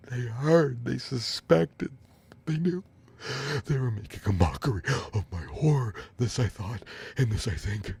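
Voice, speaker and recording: Frantic, husky, guilt-crazed murderer. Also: male voice, mid-range voice, darkish tone, voice pushed up, exaggerated voice. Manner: feverish, exclamatory, repeating words.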